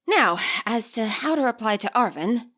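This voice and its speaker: A woman reads as a guarded, techy teenage girl in a storybook. She is wry and matter-of-fact, with a high, bright voice. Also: expressive, normal speed. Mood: dry, practical.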